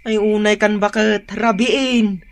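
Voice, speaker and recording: A man's voice: high-pitched, steady tone, slow, creaky, slight background noise, full character voice. A man acting an exasperated, teasing village husband with an Ilocano accent in a comedy radio drama. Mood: grumbling.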